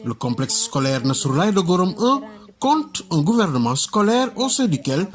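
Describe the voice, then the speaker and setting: A man narrating a news report as voice-over in a measured, lively delivery. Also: high voice.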